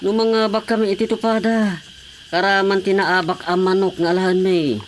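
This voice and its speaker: A man's voice: high-pitched, little pitch movement, normal speed, lightly acted. A man acting as a crafty village cockfight gambler, always scheming, with an Ilocano accent. The delivery is comic radio drama, recorded with faint background noise. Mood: sly, boastful.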